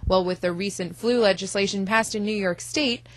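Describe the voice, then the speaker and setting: A young adult woman reporter and anchor reading scripted news in a crisp, measured way. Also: medium pitch, a little hiss or hum. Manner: crisp, measured newsreader delivery.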